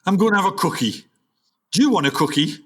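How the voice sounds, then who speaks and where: A man's voice: sits high, expressive, fast, a bit bright. A man playing an aging, grumpy, melodramatic jazz musician with a North of England accent in a comic radio play. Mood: grumbling. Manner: griping, melodramatic, indignant.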